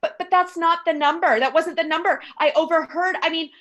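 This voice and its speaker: An actress playing an anxious, demanding invalid wife in a radio play, fretful, indignant and complaining. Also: female voice, very high voice, steady tone, fairly quick, fairly bright tone, dull audio. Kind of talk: stage radio play acting.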